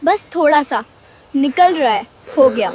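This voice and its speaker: Woman as a slow, very high-voiced little girl. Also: expressive, a crowd in the background. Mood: soothing. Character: small, tender-hearted village girl. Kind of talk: dramatised moral story dialogue.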